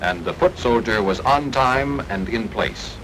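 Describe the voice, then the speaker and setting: An American man delivers formal wartime documentary narration in the 1940s style. His pitch is mid-range but moves in lively, emphatic swings. The sound is an old archival film track with some noise beneath the voice. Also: a little slow, poor audio.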